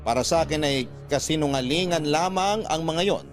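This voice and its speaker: A man playing a skeptical letter sender, narrating his confession in a measured radio-drama storytelling style. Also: fairly high pitch, natural voice. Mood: reflective. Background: music.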